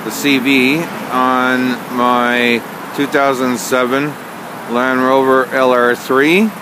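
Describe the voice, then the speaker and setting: Halting man, noisy casual walkthrough. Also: medium pitch, little pitch movement. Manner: halting, hesitant delivery with frequent pauses. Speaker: amateur vlogger showing an installation. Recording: clear car and outdoor background noise.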